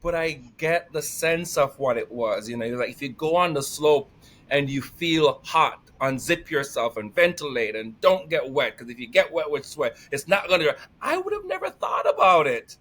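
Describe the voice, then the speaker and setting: A man with a Jamaican accent, a guest telling lively stories in conversation and laughing often. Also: a little high, moderate pace. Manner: energetic, animated, laughs often. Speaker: podcast guest. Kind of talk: storytelling conversation.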